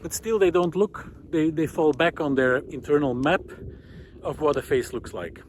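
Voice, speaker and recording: A man speaking in a reflective, halting monologue as he walks outdoors, hosting his own video series with thoughtful pauses. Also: on the high side. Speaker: host of a walking video series. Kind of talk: reflective monologue while walking. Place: outdoors on a country road in hilly farmland. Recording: outdoor recording with clear background noise. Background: outdoor ambient noise.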